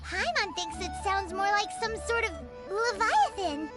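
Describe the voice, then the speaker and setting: Squeaky high fairy companion, bouncy and bossy, loud game music behind. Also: female voice, unhurried. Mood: teasing. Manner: squeaky, excitable and scolding. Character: tiny floating female fairy companion, chirpy and bossy. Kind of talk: video game voice acting.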